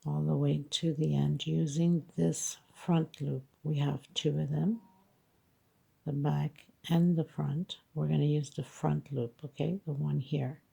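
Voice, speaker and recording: A woman's voice: sits low, level tone, unhurried, creaky, mellow, husky. A woman teaching crochet step by step, counting stitches patiently, her voice congested. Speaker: crochet instructor. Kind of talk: step-by-step craft tutorial.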